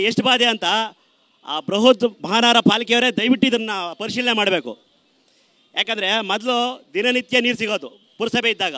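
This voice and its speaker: A man's voice: very high-pitched, bright, forceful tone, dry recording. A man giving his opinion as a resident in an oratorical way, listing complaints point by point. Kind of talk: street vox pop complaint.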